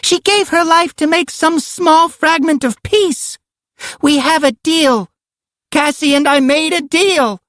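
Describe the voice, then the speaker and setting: Man voicing a high-pitched solemn little girl. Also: slow pace, vocal fry, long pauses, dry recording, studio quality, full character voice. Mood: desperate, pleading. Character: solemn little girl with an alien controller inside. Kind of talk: audiobook character acting.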